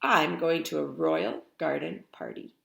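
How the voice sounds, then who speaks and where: A woman voicing a feisty, bossy, cheerful old grandmother from a picture book, exclaiming in a brisk, commanding way. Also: low voice, lively intonation, creaky, a bit bright, roomy sound, full character voice. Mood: gleeful.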